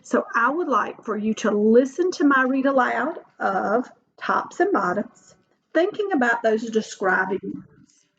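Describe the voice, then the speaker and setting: A woman reading a children's story aloud like a teacher, with deliberate, expressive phrasing. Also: fairly high pitch. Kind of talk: slow storybook read-aloud. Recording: dull lo-fi recording.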